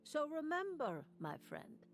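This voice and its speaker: A serene seer of prophecy in a video game. She speaks very slowly in a mid-pitched female voice edged with vocal fry, calm and portentous. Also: faint music behind, full character voice. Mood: serene, solemn. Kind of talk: scripted video game voice acting.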